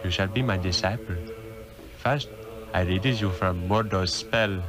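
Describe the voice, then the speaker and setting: Aged, solemn sorcerer master. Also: male voice, sits low. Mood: solemn, pleased. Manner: slow, grave, formal. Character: ancient wise sorcerer master, old man, solemn and benevolent. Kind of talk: 1960s radio drama acting. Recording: old radio broadcast with music underneath.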